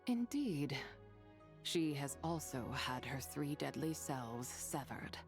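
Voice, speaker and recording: A woman voicing a courteous, wise immortal in a video game, with formal, measured, old-fashioned delivery. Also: low-pitched, very animated, music in the background, heavily acted. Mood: grave, composed. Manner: formal, measured, archaic wording.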